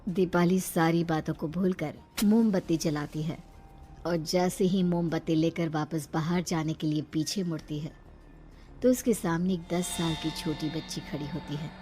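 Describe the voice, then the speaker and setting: A woman narrates a scripted horror tale. Her voice sits fairly low, and music plays under the storytelling. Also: steady tone, normal speed, mellow.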